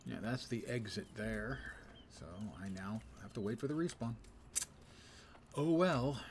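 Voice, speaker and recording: Male livestreamer, medium pitch: casual, muttered, wry play-by-play with noticeable game noise behind him. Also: animated, unhurried. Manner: chatty, wry muttering to himself and viewers. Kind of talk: casual live gameplay commentary. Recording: noisy, game sound behind. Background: video game ambience.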